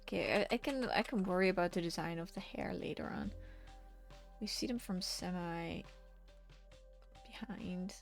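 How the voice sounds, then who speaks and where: A woman's voice: lowish voice, normal speed, gravelly, long pauses, soft background music. A woman streaming while she paints, chatting casually with her viewers and often half-whispering to herself as she works. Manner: chatty, reacting to chat with laughs. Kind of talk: casual chat while drawing.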